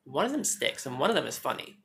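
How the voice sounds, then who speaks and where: A woman vlogging on her phone at home, chatting fast and joking with some swearing, her naturally deep voice held higher. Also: big pitch swings, vocal fry, a bit bright. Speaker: YouTuber. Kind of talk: casual storytelling vlog. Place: indoors at home, TV behind her.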